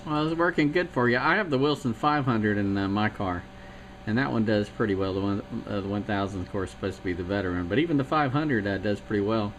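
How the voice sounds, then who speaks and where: A man on CB radio, chatting quickly and casually about his equipment. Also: fairly low pitch. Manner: quick, chatty gear talk with fillers. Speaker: CB radio hobbyist. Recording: noisy CB radio signal.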